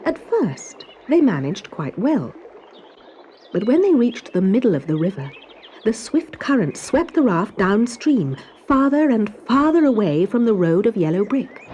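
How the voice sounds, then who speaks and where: A woman reading a children's story as narrator, in an expressive, dramatic storytelling manner. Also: medium pitch, unhurried, highs cut off, a little hiss or hum.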